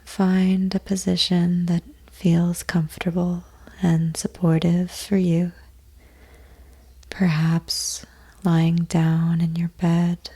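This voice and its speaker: A woman guides a meditation in a low, husky voice that often falls to a breathy near-whisper with a creaky edge. Her delivery is extremely slow and gentle, leaving long pauses between short, soothing phrases. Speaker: yoga nidra meditation teacher.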